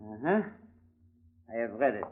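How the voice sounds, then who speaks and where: Menacing French gangster, tinny old radio. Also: male voice, mid-range voice, sing-song, a little slow, a little hiss or hum. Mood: courteous, resigned. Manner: theatrical, formal, threatening. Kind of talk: 1940s radio detective drama acting. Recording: tinny, band-limited old radio recording.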